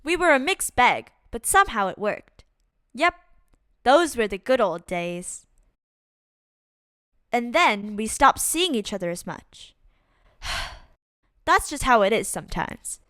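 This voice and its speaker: Slow, lively high-voiced woman reading a storybook. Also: clear, resonant tone, dry recording, studio quality. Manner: deliberate, dramatic delivery with acted-out exclamations. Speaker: storyteller reading a children's picture book aloud. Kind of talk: expressive read-aloud storytime narration for kids.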